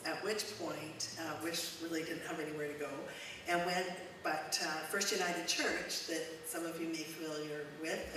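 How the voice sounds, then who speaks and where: Woman speaker, loud natural voice, lower pitch, chatty talk, echoey hall, distant mic, background noise. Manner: warm, conversational, with frequent ums. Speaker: guest speaker, charity executive director. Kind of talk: informal talk to a church congregation. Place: church hall.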